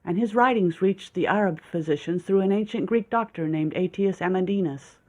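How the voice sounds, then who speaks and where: A man reading a story, voicing an excited young woman who explains old myths eagerly. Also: high voice, normal speed, highs cut off.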